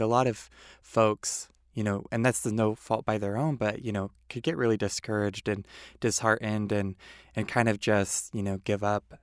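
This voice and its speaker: A man's voice: medium pitch, clean tone, no room sound, studio quality. A man hosting a podcast, introducing the show and interviewing a guest in a friendly, attentive manner. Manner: friendly, attentive, encouraging listener. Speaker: podcast host.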